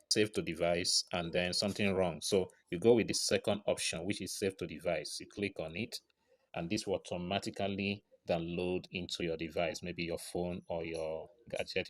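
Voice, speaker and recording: Male tutorial presenter, low register, informal step-by-step narration, frequent little confirmation tags. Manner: frequent check-in tags like right and okay.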